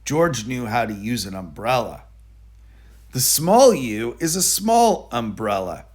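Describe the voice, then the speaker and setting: A man narrating a children's picture book aloud in a deliberate, explanatory manner. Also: mid-range voice, big pitch swings, a little mellow, breathy edge. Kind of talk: children's storybook read-aloud.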